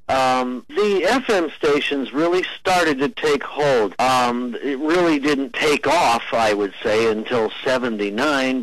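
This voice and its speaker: A man's voice: mid-range voice, rough recording. A middle-aged man talking as an interview guest, explaining and reminiscing in a talkative, enthusiastic way. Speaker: interview guest, radio veteran.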